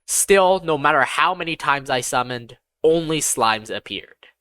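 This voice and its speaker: A woman's voice: sits low, expressive, unhurried, a bit bright, no echo. A woman reading a scripted first-person fantasy audiobook as its narrator.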